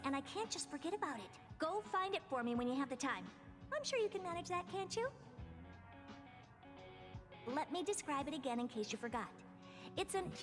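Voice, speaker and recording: An aloof, bossy young genius from a game. Her very high voice bounces around with offhand self-assurance, over clear background music. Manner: imperious, offhand. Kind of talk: video game voice acting. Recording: music under the voice.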